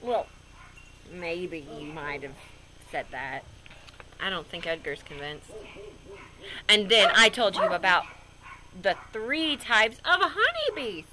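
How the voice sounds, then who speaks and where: A woman reading a children's picture book aloud in a goofy, expressive way with chatty asides. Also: fairly low pitch, takes it slowly, long pauses, background noise. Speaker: storybook reader.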